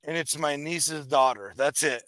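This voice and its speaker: A man's voice: fairly high pitch, a little slow, fairly bright tone, dry recording. A man co-hosting a casual chat, telling crude stories in a brash way. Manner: brash, crude storyteller, laughs.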